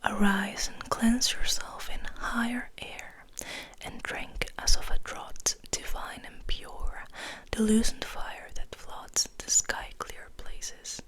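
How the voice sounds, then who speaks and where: A woman whispering in a hushed, deliberate ASMR style, as host of a soft poetry reading, close to the microphone. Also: on the high side, steady tone, low-quality recording.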